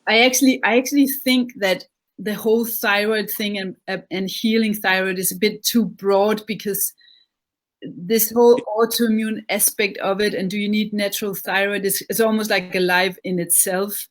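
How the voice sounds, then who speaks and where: Lady hosting a live chat, mid pitch, chatty, keeps repeating words. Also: fairly even intonation, moderate pace. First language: Danish. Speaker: interview host.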